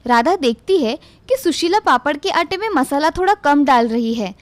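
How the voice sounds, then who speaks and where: A woman's voice: sits high, animated, moderate pace, no room sound. A woman narrating a scripted moral story for children.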